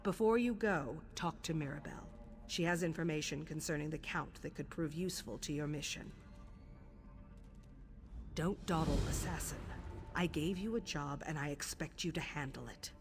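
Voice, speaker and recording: Low-voiced, stern assassin matriarch, faint music. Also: female voice. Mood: commanding. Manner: measured, formal, authoritative. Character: stern, composed female leader of an assassins' guild. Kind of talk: video game voice acting. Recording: faint background music under the voice.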